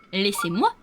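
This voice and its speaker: A woman's voice: mid-range voice, a little hiss or hum. A young woman with a stuffy, slightly nasal voice from a cold, chatting casually to viewers at home while she plays a game. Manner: chatty, laughs often, talks to viewers. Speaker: let's play streamer. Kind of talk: casual gameplay commentary. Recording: game music behind the voice. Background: game music.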